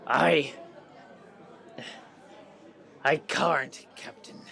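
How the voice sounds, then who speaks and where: An actor playing a timid, whiny young pirate crewman in an audio drama, with a strained, raspy voice. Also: on the high side, steady tone, slow pace, bright, forceful tone, some chatter behind, full character voice. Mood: nervous. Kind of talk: theatrical audio drama dialogue.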